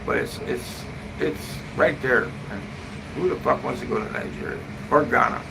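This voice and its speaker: Casting: male vlogger, creaky voice, blunt and sweary, loose casual rambling, recorded in a noisy room. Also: medium pitch, normal speed. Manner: blunt, profane, slangy, often ending phrases with "man". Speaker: travel vlogger giving a live update. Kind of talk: rambling casual live-stream chat. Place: hotel room, indoors. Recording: noisy room with steady background hum.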